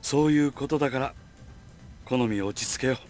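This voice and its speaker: An actor playing a smooth-talking, condescending young leader in a visual novel, talking lightly and casually. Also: male voice, mid-range voice, fast, darkish tone, faint music behind, lightly acted. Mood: breezy, condescending. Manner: light, casual, looking down on others. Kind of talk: Japanese visual novel voice acting.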